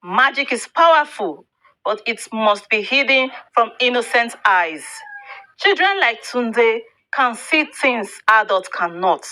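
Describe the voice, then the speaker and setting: Woman voicing a high-pitched, cautioning old woman mentor. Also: animated, takes it slowly, bright, forceful tone, full character voice, poor audio. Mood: grave warning.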